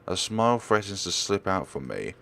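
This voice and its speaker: A man reading visual novel text aloud as a let's player, expressive and occasionally stumbling over his words. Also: low-pitched, moderate pace, gravelly. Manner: expressive, stumbles and corrects himself while reading.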